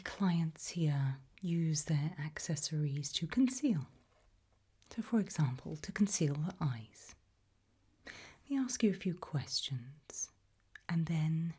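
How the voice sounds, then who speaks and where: A woman whispering softly in an ASMR roleplay as a tailor, asking questions in a polite, measured, formal manner. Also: low voice. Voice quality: soft, breathy unvoiced whisper.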